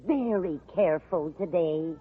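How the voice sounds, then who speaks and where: A woman's voice: fairly low pitch, unhurried, breathy edge. An actress playing a fussy, fretful, well-meaning landlady in a vintage radio sitcom. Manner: fretful, solicitous. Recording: tinny vintage radio, audience noise.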